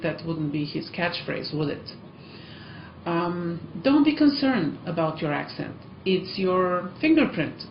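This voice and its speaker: A woman's voice: fairly low pitch, a little hiss or hum. A woman from Eastern Europe with an Eastern European accent, a language school teacher chatting encouragingly to learners, with many ums and uhs. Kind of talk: casual vlog talk to learners. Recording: echoey, muffled room sound.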